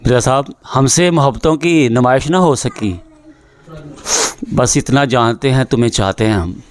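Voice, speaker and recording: A young male poet recites couplets at a gathering in a middle, even voice. He repeats each verse, climbing higher in pitch on the lines that land. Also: normal speed. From Pakistan. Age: young adult. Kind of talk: poetry recitation.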